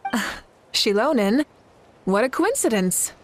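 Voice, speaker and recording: A woman playing a composed, righteous young leader in a video game, measured and assured with a playful side. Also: a little high, big pitch swings, a little hiss or hum. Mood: resigned, matter-of-fact. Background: music.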